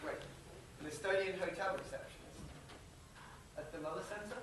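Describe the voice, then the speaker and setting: An actor playing a baffled, excitable sheriff in a student stage pantomime. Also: mid-range voice, normal speed, close to an everyday voice. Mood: shocked. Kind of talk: live student pantomime acting. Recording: echoey distant stage, noisy.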